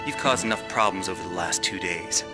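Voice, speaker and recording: A cool game adventurer played by a man talks in a low voice with music behind. He is dry, sardonic and brusque, a tough guy. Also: a little fast, lightly acted. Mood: wry, calm. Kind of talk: video game cutscene acting.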